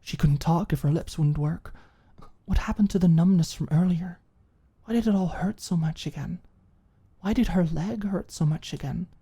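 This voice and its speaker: A woman's voice: fairly low pitch, moderate pace, mellow, breathy edge. A woman reading scripted fiction as the narrator of an ASMR audiobook.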